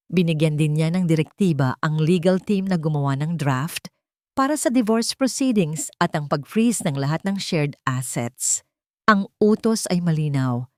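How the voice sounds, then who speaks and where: A woman's voice: fairly low pitch, a little mellow, no room sound, studio quality. A woman narrating a scripted dramatic story as a storyteller.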